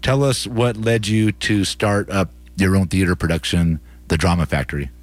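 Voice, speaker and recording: A man's voice: sits low, fairly even intonation, moderate pace. A man hosting a radio interview, asking informal, conversational questions.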